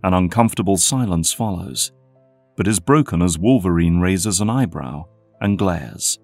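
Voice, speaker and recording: A man's voice: low voice, lively intonation, moderate pace, a little mellow, dry recording, soft background music. A man delivering scripted dramatic narration as the narrator of an audio drama.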